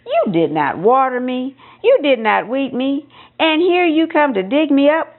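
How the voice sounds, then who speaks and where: A woman's voice: high-pitched, sing-song, a little slow, phone-quality sound, pitched above the performer's own voice, exaggerated voice. A woman voicing an indignant, scolding yam in a storybook tale, accusing and emphatic.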